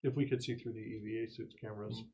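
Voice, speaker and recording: Man, lowish voice, roleplay game player, in-character planning talk. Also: flat delivery, quick pace, darkish tone, highs cut off. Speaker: player in a tabletop role-playing game. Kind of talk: in-character tabletop discussion.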